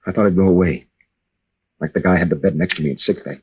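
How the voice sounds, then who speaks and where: An actor playing a troubled young American navy veteran and husband, speaking earnestly and haltingly in an old radio drama. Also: male voice, deep voice, fairly even intonation, breathy edge. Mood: haunted, confessional. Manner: halting, earnest, with stammered restarts. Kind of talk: 1940s-50s radio drama acting. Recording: dull old-time radio recording.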